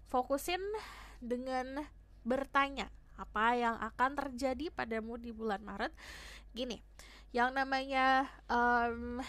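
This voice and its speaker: A young woman with a hoarse voice, chatting casually as she gives a tarot reading to her viewers. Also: on the high side, a bit bright, dry recording. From Indonesia. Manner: chatty, switches between Indonesian and English phrases. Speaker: tarot reader.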